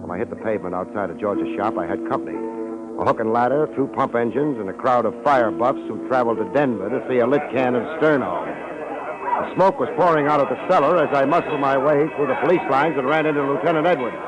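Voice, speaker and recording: A man's voice: mid-range voice, quick pace, highs cut off, natural voice. An actor playing a wry, easygoing American private detective in a 1950s radio drama, wisecracking and colloquial as he talks and narrates. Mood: hard-boiled narration. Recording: old radio broadcast recording with music.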